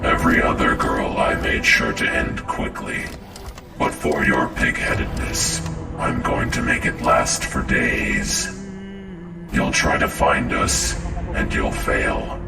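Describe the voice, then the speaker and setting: A sadistic game villain with an extremely deep voice that swoops theatrically. He gloats in slow, menacing phrases, echoing from a distance under loud music. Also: wildly swooping pitch, gravelly, exaggerated voice. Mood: gloating, sadistic. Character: sadistic, taunting male pyrokinetic serial killer villain. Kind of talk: dramatic game villain acting.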